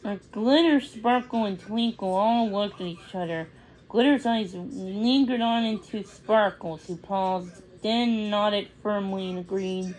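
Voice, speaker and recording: A woman reading a children's story aloud as a read-along storyteller, in a halting, word-by-word way. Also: medium pitch, darkish tone, poor audio.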